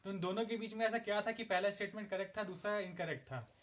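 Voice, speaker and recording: Higher-voiced Indian man lecturing. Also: fast talker, a bit bright. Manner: explanatory, asks and answers his own questions. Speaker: assistant professor. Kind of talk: classroom lecture mixing Hindi and English.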